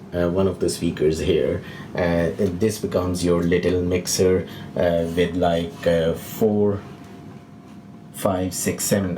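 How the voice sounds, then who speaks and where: A low-pitched male voice walks through gear in a casual tutorial style. He speaks haltingly, pausing often to find the next phrase. Clear background noise sits behind him in an indoor room. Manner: hesitant, halting delivery with frequent pauses. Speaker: product demonstrator, video host. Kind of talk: casual unscripted equipment tutorial. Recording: noisy room with steady background hiss.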